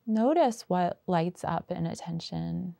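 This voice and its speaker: A woman guiding a meditation as its teacher, with unhurried, gentle prompts and long pauses. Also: lowish voice, lively intonation, gravelly, no room sound. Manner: slow, pausing, unhurried delivery with gentle prompts. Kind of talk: guided meditation.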